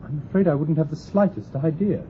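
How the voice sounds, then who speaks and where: An actor playing a polite, cautious young mathematician with a British accent, formal and hesitant, in a radio drama. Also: male voice, on the high side, animated, fairly quick, natural voice. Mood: polite, unsure. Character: earnest, polite young male mathematician newly arrived, cautious. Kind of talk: BBC radio drama acting. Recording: phone-like lo-fi radio recording, clear hiss.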